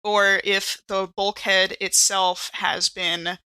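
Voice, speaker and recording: A woman's voice: mid-range voice, flat delivery, slow, bright, forceful tone, smooth, no echo. A woman playing a tabletop game, chatting casually and playfully as she rambles through what her character does. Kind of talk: casual in-game role-play chat.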